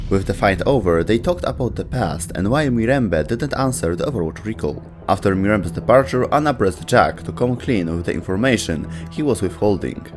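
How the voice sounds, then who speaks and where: Lively lowish-voiced man narrating. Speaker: video essay narrator. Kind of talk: scripted narration.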